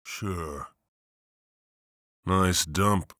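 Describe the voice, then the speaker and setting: Actor doing a brash district attorney, deep, dry close voice. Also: male voice, drawn-out words, pushed and bright, no echo, heavily acted. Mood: blunt.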